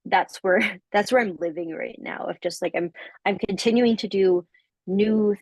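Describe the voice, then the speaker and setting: A young woman giving a coaching testimonial in casual conversation, halting and full of fillers and restarts, often laughing. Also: medium pitch, a little mellow, no room sound, low-quality recording.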